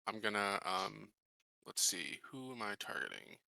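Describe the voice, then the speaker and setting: A man's voice: lowish voice, unhurried, gravelly, pushed and bright, no room sound. A man chatting casually as a player in a tabletop roleplaying game.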